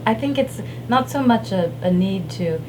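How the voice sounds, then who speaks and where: A woman's voice: mid-range voice, lively intonation, normal speed. A woman chatting casually as an interview guest, a theater performer talking about her work. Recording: clear room noise.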